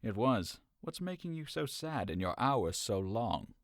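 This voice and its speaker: An earnest young cousin, voiced by an actor in an audio drama, coaxing and full of concern. His pitch rises and falls in a lively way, and the sound is dry and close. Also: measured pace, no echo. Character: caring young male cousin, earnest friend.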